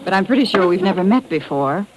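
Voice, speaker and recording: A woman's voice: on the low side, expressive, fast talker, a little mellow, natural voice, poor audio. Actress as wry young American woman. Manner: dry, wisecracking. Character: wry, self-possessed young American blonde magazine writer. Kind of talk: 1950s radio acting.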